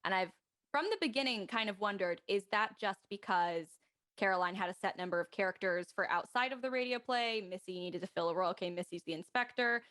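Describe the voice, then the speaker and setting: A woman's voice: mid-range voice, fairly quick, a bit bright. Casual, analytical woman in conversation. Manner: analytical, thinking aloud. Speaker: cast member discussing an audio drama. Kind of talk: casual group chat.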